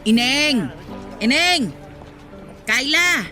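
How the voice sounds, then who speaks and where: An actress playing a nosy, pious older village churchgoer in a radio drama, with a scolding, insistent manner. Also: female voice, sits high, expressive, very slow, over music, full character voice. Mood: nosy, concerned.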